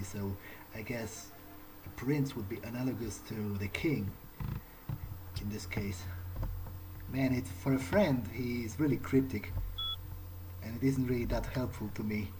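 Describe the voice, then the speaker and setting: A man playing an uneasy vampire newcomer in an in-character game playthrough, thinking aloud in a halting, hesitant way. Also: mid-range voice, close to an everyday voice, low-quality recording. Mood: puzzled, pondering. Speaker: let's player. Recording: music behind the voice. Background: game soundtrack music.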